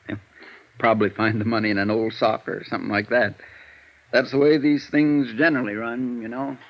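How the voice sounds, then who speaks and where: An American actor playing a tired, amiable middle-aged lawyer with a rambling, folksy manner in a vintage radio drama. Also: male voice, mid-range voice, fairly even intonation, normal speed, pauses often, a little hiss or hum, natural voice. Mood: easygoing, musing. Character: tired, seedy, affable male lawyer about forty. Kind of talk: 1950s radio acting. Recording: muffled vintage radio recording.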